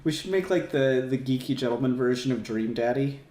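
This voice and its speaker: A man hosts a casual fan podcast in a medium-pitched voice. He rambles and backtracks as he chats. The sound is roomy and echoey, with some music mixed in. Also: a little fast, a little mellow. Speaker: podcast host.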